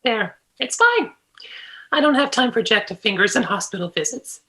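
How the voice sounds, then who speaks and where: A woman's voice: a little high, very animated, a bit bright. An actress reading a frazzled mother who insists everything is fine and barks orders at her kids in a table read. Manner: brisk, bossy, keeps brushing off pain.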